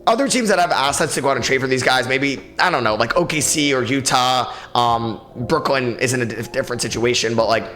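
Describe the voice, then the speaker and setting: A young man with a raspy, tired voice, hosting a solo sports podcast in a casual, opinionated way with many fillers. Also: medium pitch, brisk, few pauses, a bit bright, faint music behind. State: tired, short on sleep. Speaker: podcast host.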